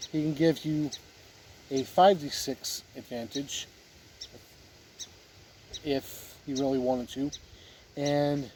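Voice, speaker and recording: A man giving a casual, unscripted review as a video host, plain-spoken with halting phrasing, with wind on the recording. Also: on the high side, warm tone. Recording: wind noise on the mic.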